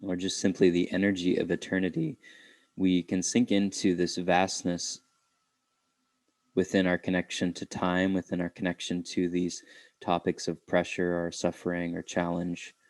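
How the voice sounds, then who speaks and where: Low monotone man guiding a meditation. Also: dry recording. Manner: reflective, halting phrasing with pauses. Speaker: guided meditation teacher. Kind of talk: unscripted guided meditation.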